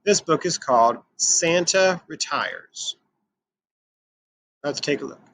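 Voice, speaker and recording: Male children's storybook reader, higher-pitched and bright, expressive and emphatic delivery. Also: takes it slowly, no echo. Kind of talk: expressive read-aloud of a picture book for children.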